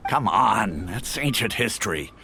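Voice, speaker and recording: A man's voice: on the low side, expressive, unhurried, creaky, pushed and bright, slight background noise, heavily acted. A man playing an easygoing, solicitous islander in a video game, chatty and full of fussing concern. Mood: dismissive.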